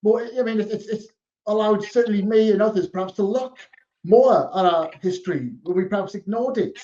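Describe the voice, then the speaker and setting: High-voiced man, lo-fi sound. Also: fairly quick, warm tone. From Wrexham area. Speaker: podcast host. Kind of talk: casual chat. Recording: dull lo-fi sound.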